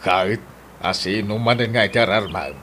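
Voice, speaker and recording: A man plays a scolding Filipino father in a radio comedy. The voice is fairly low, blunt and skeptical, with faint noise behind it. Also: a little fast, a bit bright, close to an everyday voice. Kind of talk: comedic Ilocano radio drama acting.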